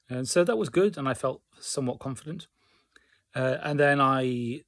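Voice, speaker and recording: A man recounting his day in a casual personal vlog, speaking in a reflective, measured and somewhat hesitant way. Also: mid-range voice, gravelly, no room sound. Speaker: hobbyist learner keeping a progress diary.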